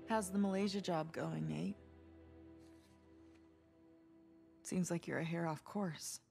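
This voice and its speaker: An actress playing a sharp, hurt, no-nonsense American woman in her thirties, in cinematic video game drama. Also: on the low side, fairly even intonation, moderate pace, creaky. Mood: cool, sardonic. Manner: pointed, controlled, sardonic. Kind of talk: naturalistic cinematic game dialogue. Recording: music under the dialogue.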